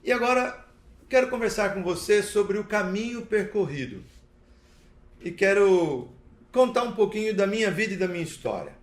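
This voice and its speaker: A man giving a personal testimony, speaking deliberately in short phrases with pauses and little checking tags. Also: high voice, lively intonation. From interior of Paraná, southern Brazil. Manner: deliberate, pausing between short phrases, seeks agreement with tags. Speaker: recovery talk speaker. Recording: echoey, roomy sound.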